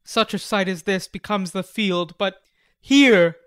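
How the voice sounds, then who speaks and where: A man's voice: sits high, measured pace, a bit bright, smooth, no echo, studio quality. A man acting a grave young conquering prince in a classical tragedy, with stately, commanding declamation. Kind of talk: classical stage tragedy, dramatic audiobook reading.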